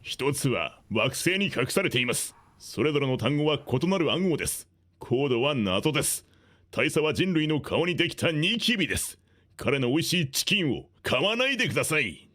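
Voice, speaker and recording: Rapid-fire animated man as a game character. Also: fairly high pitch. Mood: cryptic. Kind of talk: video game cutscene monologue.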